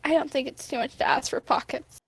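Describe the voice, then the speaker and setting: Young female, high voice, informal and giggly, relaxed group-chat delivery. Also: a little fast, slightly rough.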